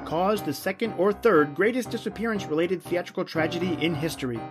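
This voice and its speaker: A man's voice: sits high, brisk. A man delivering scripted promotional narration as an announcer for podcasts. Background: plucked guitar music.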